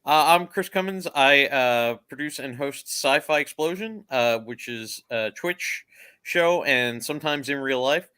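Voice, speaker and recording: A man's voice: medium pitch, measured pace, bright, forceful tone, clean tone, no room sound. A man who hosts shows, talking casually as a panelist on a video call. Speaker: show producer and host, panelist. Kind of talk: casual self-introduction on a panel.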